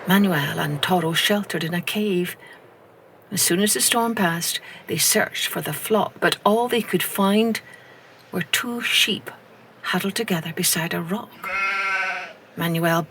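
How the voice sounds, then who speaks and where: A woman telling a children's story aloud in an expressive, unhurried way, now and then dropping to a soft whisper. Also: fairly low pitch, a little hiss or hum, low-quality recording. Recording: music playing under the voice.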